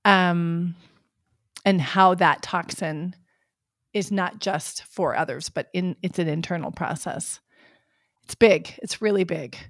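A woman hosting a podcast interview, responding in an affirming, measured way. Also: on the low side, dry recording, clean studio sound. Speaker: podcast host. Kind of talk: interview conversation.

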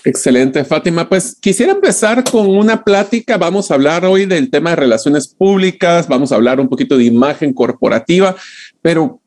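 A man's voice: a little high, expressive, a little fast. A man hosting a podcast, reading a guest's bio and then opening the interview. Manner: reads guest bio, then chats warmly. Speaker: podcast host.

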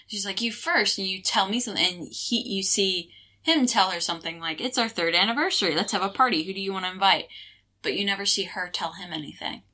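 A woman's voice: mid-range voice, fairly quick, pushed and bright. A woman in casual conversation about a film, analytical, retelling scenes and quoting the characters.

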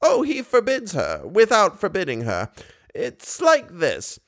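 A serene old lady with a sweet, childlike innocence and a lilting voice that rises and falls freely. She is high and light, as a male narrator puts her on for a storybook reading. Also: huge pitch swings, a little slow, a bit bright, heavily acted. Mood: earnest. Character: kindly old bedridden woman, childlike and serene.

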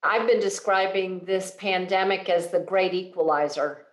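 A woman's voice: lowish voice, steady tone, normal speed. Woman, podcast host, upbeat, room echo on the voice. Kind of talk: corporate podcast interview. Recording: roomy echo.

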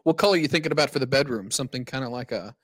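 A man's voice: mid-range voice, rapid-fire, fairly bright tone, dry recording, clean studio sound. A man hosting a casual podcast chat, joking around and asking his guests questions. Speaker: podcast host.

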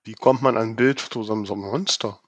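A man's voice: on the low side, quick pace, dry recording, lo-fi sound. A man hosting a podcast in casual, informal chat, with a joking, check-in way of talking. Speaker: podcast host.

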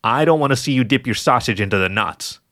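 A man's voice: mid-range voice, fast, no echo. A man voicing a tired, exasperated male jackal with a dry, sarcastic way of questioning in an audio story. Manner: weary, deadpan questioning.

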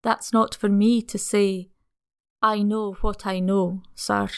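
A man reading aloud and putting on the voice of an old country housekeeper, a placid, superstitious woman with a who speaks slowly and ominously. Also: high voice, level tone, creaky, clean tone, voice pushed up, full character voice. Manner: slow, hushed, ominous.

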